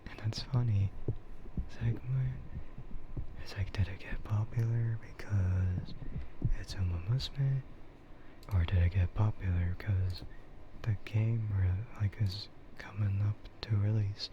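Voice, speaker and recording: A low-pitched man streams casual ASMR chat in a soft, breathy whisper. He rambles slowly and hesitantly, close to the mic. The sound is a little dull and muffled. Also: fairly even intonation, background noise.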